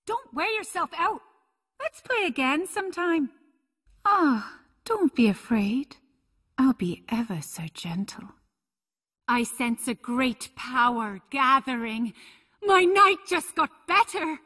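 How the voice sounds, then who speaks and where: An actress voicing a seductive, playful, teasing succubus demon in a fighting video game, with a sultry, flirtatious delivery. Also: female voice, sits high, lively intonation, slow, pushed and bright, full character voice. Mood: flirtatious, taunting.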